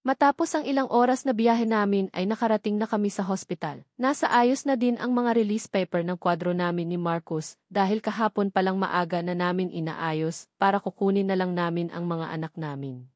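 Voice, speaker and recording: A woman narrates a first-person story from a script. Her voice sits at a middle pitch for a woman. The sound is very dry, with no room around it. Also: a little fast, darkish tone, no room sound. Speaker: audiobook narrator.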